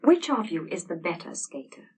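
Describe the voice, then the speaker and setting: A woman voicing a kind, decisive, well-to-do young lady with a gracious, brisk manner, in an audiobook story. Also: medium pitch, sing-song, breathy edge, distant mic.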